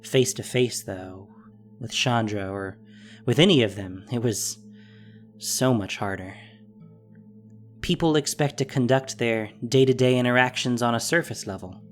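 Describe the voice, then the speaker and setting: A narrator reading a scripted fantasy audio drama in an expressive, deliberate storytelling manner. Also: mid-range voice, faint music behind.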